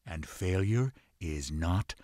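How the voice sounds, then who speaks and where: An actor playing a shrewd, commanding pirate lord in a video game, speaking in a measured, menacing and authoritative way. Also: male voice, sits low, lively intonation. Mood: stern. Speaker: video game character giving mission briefings. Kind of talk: dramatic game cutscene acting.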